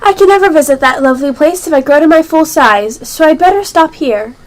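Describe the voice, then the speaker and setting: Female actor as bewildered little girl, very high voice, polite, earnest, radio-play delivery. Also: brisk. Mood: flustered. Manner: earnest, expressive. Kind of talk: amateur homeschool radio play.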